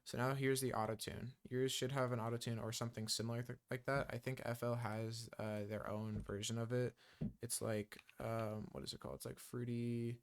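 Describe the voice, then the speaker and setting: A man walks through a beginner software tutorial in a relaxed, rambling way. He fills his sentences with ums and uhs. His voice is smooth and resonant, sitting at an ordinary middle pitch. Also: normal speed. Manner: loose and chatty, many ums and uhs. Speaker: music production tutor. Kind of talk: casual screen-recorded software tutorial.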